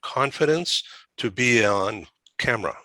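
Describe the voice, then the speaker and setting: A man hosting a conversational interview, asking halting, rambling questions. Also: mid-range voice, lively intonation, bright, forceful tone, dry recording. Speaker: talk show host.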